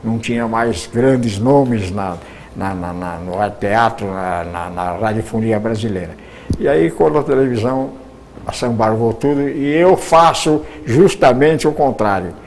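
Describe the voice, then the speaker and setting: An older man, a retired radio producer, reminiscing in an interview, with halting speech full of repeated words and restarts. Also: on the low side, a little mellow, a little hiss or hum.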